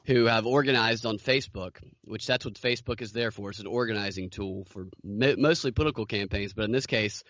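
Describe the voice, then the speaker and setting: A man's voice: on the low side, a little fast, hardly any pauses, fairly bright tone, dry recording, dull audio. A man co-hosting a podcast, talking casually through the news. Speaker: podcast co-host.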